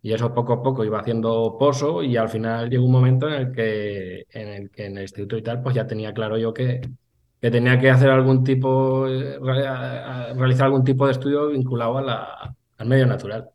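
A man, an interview guest telling stories casually in a halting, colloquial, self-deprecating way. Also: mid-range voice, flat delivery, muffled recording. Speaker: interview guest, forestry engineer. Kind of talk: casual conversational storytelling.

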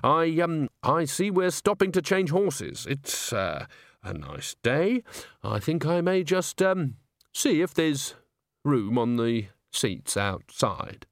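A man's voice: medium pitch, sing-song, unhurried, no echo, voice pushed up. A prim, pompous male opera manager from a storybook, as a male narrator plays him, with a raised, fussy voice. He speaks politely and self-importantly, close and dry. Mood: uneasy.

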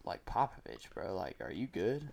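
A man's voice: low-pitched, very animated, normal speed, vocal fry, darkish tone. A young man co-hosting a casual podcast, loose and swearing freely, often trailing off mid-thought. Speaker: podcast co-host. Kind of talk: casual chat.

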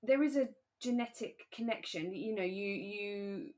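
A woman co-hosting a relaxed conversational podcast, talking in a candid, reflective and careful way. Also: mid-range voice, unhurried. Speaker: podcast co-host.

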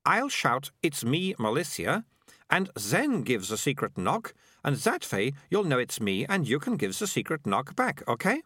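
Man doing a bossy dramatic little girl for an audiobook, high voice, brisk n insistent, very animated. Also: no room sound, clean studio sound, full character voice. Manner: insistent, brisk, self-assured. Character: bossy, dramatic young girl, eager for adventure.

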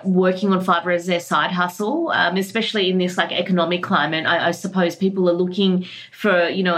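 A woman co-hosting a podcast, asking a question with hesitant fillers. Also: fairly low pitch. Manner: hesitant, many you-knows. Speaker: podcast co-host. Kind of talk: casual interview chat.

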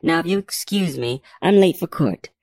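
A woman voicing a brisk, no-nonsense, dismissive female judge in an audiobook. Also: fairly low pitch, lively intonation, darkish tone, no room sound, studio quality, pitched above the performer's own voice. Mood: firm warning.

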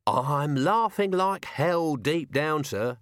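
A male narrator acts out an old manservant in a fantasy tale, wry and colloquial. He coaxes his master deferentially, with a raised, very mobile pitch and a dry, close sound. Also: lively intonation, slow pace, fairly bright tone, dry recording, full character voice. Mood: weary, wry.

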